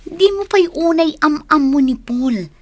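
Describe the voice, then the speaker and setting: A chiding best friend. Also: female voice, sits high, expressive, a little slow. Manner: chiding, advising. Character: scolding female best friend. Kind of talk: radio drama acting.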